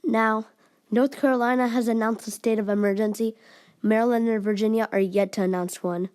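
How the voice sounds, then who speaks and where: A woman giving mock emergency news announcements in a formal but halting, amateur way. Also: a little high, fairly even intonation, darkish tone, clean tone.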